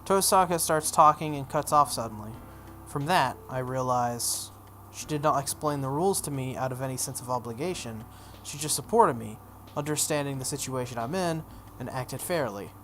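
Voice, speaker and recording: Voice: mid-range voice, a bit bright, slight background noise. A video game playthrough host reading a story aloud dramatically, with casual offhand asides. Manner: acts out dialogue, breaks off for offhand comments.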